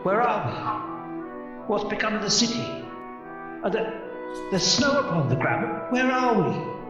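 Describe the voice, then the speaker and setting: A man's voice: sits high, very animated, slow pace, roomy sound, lo-fi sound, over music, full character voice. A man in a radio play playing an elderly miser, frightened and remorseful, stammering and exclaiming with wistful feeling. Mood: bewildered.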